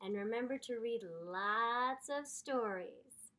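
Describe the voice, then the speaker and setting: A woman reading a children's story aloud in an expressive, theatrical, deliberate way. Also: a little high. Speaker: storyteller, voice actor.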